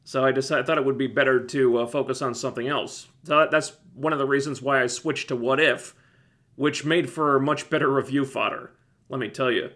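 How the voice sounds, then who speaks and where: A man hosting a casual livestream, with a phlegmy, scratchy throat, talking in a relaxed, rambling way that keeps breaking off and restarting. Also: mid-range voice, a little fast. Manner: relaxed, rambling, self-interrupting. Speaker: livestream host reviewing TV shows. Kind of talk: casual livestream chat answering viewers.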